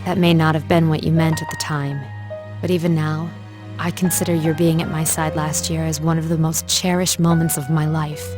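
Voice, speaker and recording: A woman playing a composed, loyal older schoolgirl who speaks in a measured, formal way in an anime game dub. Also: deep voice, level tone, music in the background, lightly acted. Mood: solemn, consoling.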